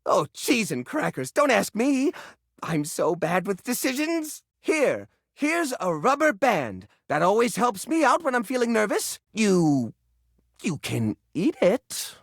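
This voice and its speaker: A husky, gravelly voice for a flustered, helpful hoarder of little odds and ends. The delivery swings up and down, fussy and hesitant yet eager, in the manner of a video game sidekick. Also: female voice, medium pitch, huge pitch swings, slow, no echo, studio quality, full character voice. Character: scatterbrained, nervous, eager-to-help keeper of odds and ends. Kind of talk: video game character acting.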